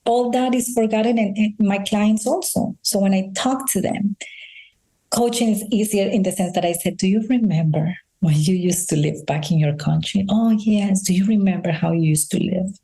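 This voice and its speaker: Colombian-accented woman, mellow storytelling voice. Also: medium pitch, normal speed, low-quality recording. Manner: earnest storytelling, frequent restarts and "you know". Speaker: integrative health practitioner, guest. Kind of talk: conversational interview.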